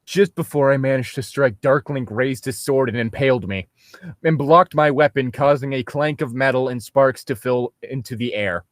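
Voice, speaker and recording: A young man with a mid-pitched voice reads and riffs on fan fiction. He is sarcastic and casual, swearing freely. The sound is dry and close. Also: moderate pace, no echo. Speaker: reader and co-host. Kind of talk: mocking fan fiction reading with casual commentary.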